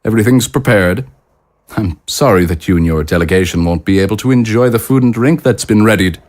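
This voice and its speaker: A man acts as a courtly consul in an audio drama. He is a smooth talker with a low voice. His delivery is formal and stately, with polite condescension. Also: a little fast, a little mellow, natural voice. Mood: politely pointed. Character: courtly, smooth-talking male consul of the living. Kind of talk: dramatic dialogue acting.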